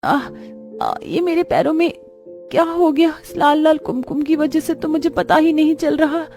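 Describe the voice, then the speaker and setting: A woman's voice: high-pitched, lively intonation, moderate pace, a little mellow, soft background music, pitched above the performer's own voice. A woman voicing an innocent, bewildered young bride in a story drama, plaintive and full of exclamations and questions. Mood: in pain.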